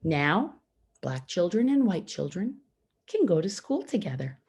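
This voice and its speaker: Slow, expressive woman reading a storybook. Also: lowish voice, slightly rough. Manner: deliberate, simple phrasing with pauses for young listeners. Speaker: storybook reader for schoolchildren. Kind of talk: read-aloud children's picture book narration.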